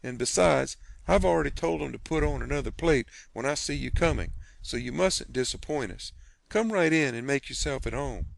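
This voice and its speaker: A man voicing an indignant, sharp-tongued middle-aged farm woman who scolds in a shrill, drawn-out way. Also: lively intonation, creaky, no room sound. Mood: hospitable.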